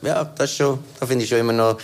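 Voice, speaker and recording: Brief: male rapper, mid pitch, rapid excited slangy talk. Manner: enthusiastic, slangy, restarts often. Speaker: rapper, interview guest. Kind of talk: casual interview chat.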